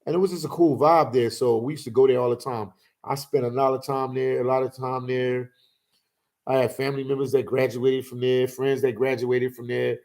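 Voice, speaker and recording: A man in his forties hosts a relaxed livestream, reminiscing and telling stories at an easy, unhurried pace. He fills his talk with "man" and "you know," keeping it loose and conversational. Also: medium pitch. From Philadelphia. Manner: laid-back storytelling, lots of "man" and "you know". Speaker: host. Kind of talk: casual livestream chat.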